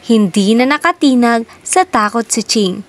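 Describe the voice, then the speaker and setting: A person reading a children's story aloud in a measured, deliberate storytelling manner. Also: mid-range voice.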